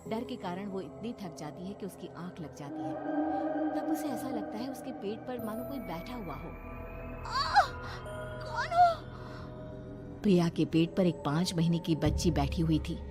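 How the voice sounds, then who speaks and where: A woman storyteller giving dramatic scripted narration of an animated horror story. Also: on the low side, normal speed, loud music behind the voice.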